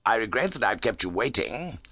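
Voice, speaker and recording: A man voicing a kindly, brisk gentleman doctor in an audiobook, courteous and concerned. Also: on the low side, big pitch swings, vocal fry, no echo, studio quality, exaggerated voice. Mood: polite. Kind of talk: audiobook character voice.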